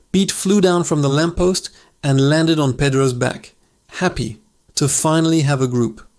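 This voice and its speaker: Calm male storybook narrator. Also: on the high side, gravelly.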